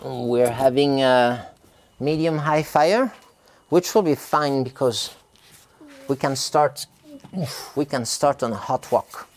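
A man's voice: medium pitch, slow. A middle-aged man, a tea farmer, rambling casually through a vlog in his tea factory, full of filler sounds and restarts. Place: tea factory, indoors by a hot wok.

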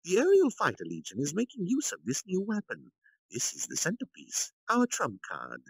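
A man's voice: sits high, wildly swooping pitch, normal speed, vocal fry, breathy edge, no room sound, voice pushed up, exaggerated voice, poor audio. A man voicing a scheming, self-important military commander in a grandiose, theatrical manner for a story reading. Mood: pompous, assured.

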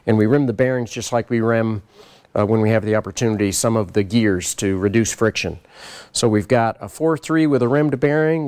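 A middle-aged man giving a casual walk-around tour of his race car shop, explaining parts in a relaxed, practical way. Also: lowish voice, smooth. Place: large garage workshop, indoors.

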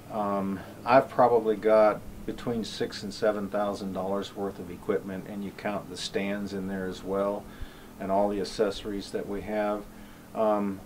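A man's voice: fairly low pitch, slight background noise. A middle-aged man talking casually to camera on an outdoor cabin porch, rambling with many ums and uhs. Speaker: homestead YouTuber.

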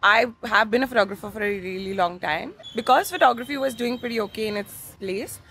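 Slightly gravelly young woman, chatty. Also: fairly high pitch, a little fast, fairly bright tone, faint music behind. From India. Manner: chatty, self-deprecating, many fillers. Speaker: interview guest, stand-up comedian. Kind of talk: casual interview chat. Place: outdoor cafe patio.

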